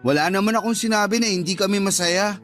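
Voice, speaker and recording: Casting: cheeky young kid brother, light high male voice, taunting, faint music behind. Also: quick pace, a bit bright. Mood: questioning, needling. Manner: taunting, questioning. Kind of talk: radio drama acting.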